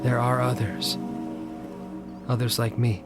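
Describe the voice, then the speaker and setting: Guy playing haunted obsessive man telling a horror story, slow n halting, big music underneath. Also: medium pitch, flat delivery, lightly acted. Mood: haunted, subdued. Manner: intense, halting, dramatic delivery. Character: haunted, obsessive man narrating his supernatural terror. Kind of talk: audio drama first-person horror narration. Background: dramatic music.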